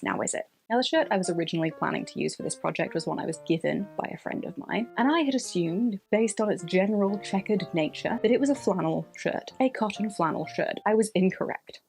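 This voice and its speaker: A woman narrating a scripted sewing vlog voice-over in an expressive way, with theatrical emphasis. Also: medium pitch, gravelly. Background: background music.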